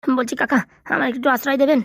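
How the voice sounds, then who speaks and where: A woman's voice: sits high, steady tone, quick pace, gravelly, no echo, clean studio sound. A woman voicing a poor, desperate young runaway man in a comedy cartoon, pleading meekly. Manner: pleading, meek.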